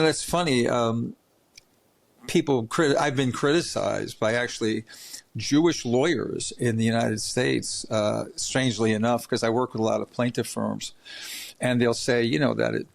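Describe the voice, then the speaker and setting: A man's voice: mid-range voice, steady tone, moderate pace, vocal fry. A man hosting an interview podcast, telling rambling stories in a chatty way with many restarts. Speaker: podcast host. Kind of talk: casual interview chat.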